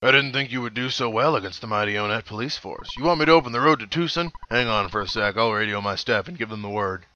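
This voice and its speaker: A man voicing a blustering, bossy small-town police captain from a video game, gruff and commanding as he reads the dialogue aloud. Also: fairly low pitch, lively intonation, fast talker, few pauses, bright, forceful tone, dull audio. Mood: brisk, self-important.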